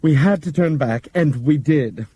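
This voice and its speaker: A man voicing a shaken middle-aged man who speaks slowly and solemnly, like a formal announcement. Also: on the high side, mellow, husky, voice pushed up. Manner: slow, measured, solemn announcing.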